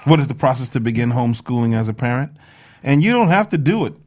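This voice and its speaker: Man, radio co-host, casual banter, quick pace, lively swooping intonation. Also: mid-range voice.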